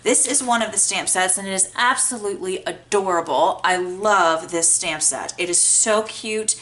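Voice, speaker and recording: Bright-voiced, chatty woman, a bit congested. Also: mid-range voice, moderate pace. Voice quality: a little scratchy. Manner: chatty, enthusiastic. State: congested from a sinus infection. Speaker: crafting tutorial host, card maker. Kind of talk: casual how-to craft demonstration.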